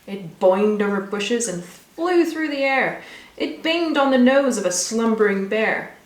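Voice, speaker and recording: A woman reading a rhyming children's story aloud with expressive, rhythmic delivery. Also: on the high side, measured pace. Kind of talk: scripted storybook narration for children. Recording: echoey room.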